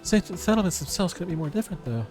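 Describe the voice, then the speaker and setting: A man's voice: high-pitched, sing-song, quick pace. A man streaming a video game, reading its dialogue aloud and chatting casually, sometimes stumbling over the words. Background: game music.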